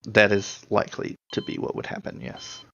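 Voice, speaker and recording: A man playing a formal, guarded government agent recruiting in a tabletop roleplay, choosing words deliberately. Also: on the low side, huge pitch swings, creaky, pushed and bright, slightly rough, lo-fi sound, exaggerated voice.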